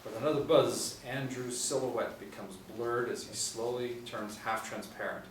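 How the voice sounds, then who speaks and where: A man's voice: medium pitch, fairly even intonation, moderate pace. A man in his forties reading screenplay stage directions as scripted narration. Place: small indoor room, seated. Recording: roomy, distant mic, faint hiss.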